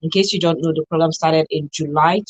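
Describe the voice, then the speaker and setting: A Nigerian woman hosts a talk radio programme in a dry studio. Her voice sits on the low side, and she presents live in a conversational hosting style. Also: fairly even intonation, fairly quick, dry recording, poor audio. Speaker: radio show host.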